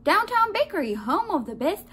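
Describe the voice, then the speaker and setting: A woman voicing a cheerful, matter-of-fact baker in a children's storybook, loud, hearty and singsong. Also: very high voice, very animated, normal speed, slight background noise, pitched above the performer's own voice, heavily acted.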